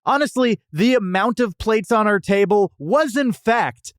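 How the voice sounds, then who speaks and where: Male podcast host, slightly high and very lively delivery, emphatic, slangy, casual comedic review chat. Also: measured pace.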